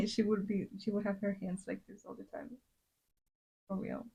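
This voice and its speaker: A woman's voice: medium pitch, flat delivery, moderate pace, gravelly, dark tone, breathy edge, lo-fi sound. A young woman from Latin America, chatting casually on a drawing livestream and rambling with many fillers and restarts. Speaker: streamer.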